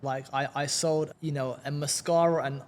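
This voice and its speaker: Chatty man from London, fairly high voice. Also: steady tone, moderate pace, darkish tone. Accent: London English. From England. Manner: upbeat, chatty, repeats words when starting sentences. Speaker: guest. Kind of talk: casual podcast interview.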